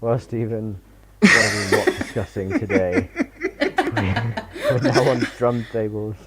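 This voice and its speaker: A man's voice: on the low side, expressive, takes it slowly, a loud crowd. A man joining a video call as a guest, dropping dry, jokey asides. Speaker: guest author. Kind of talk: casual chat among friends. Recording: noisy line.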